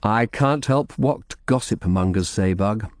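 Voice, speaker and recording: A man's voice: lowish voice, unhurried, no echo, studio quality, natural voice. A man voicing a stern, impatient royal official in an audiobook, curt and authoritative. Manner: brusque, authoritative.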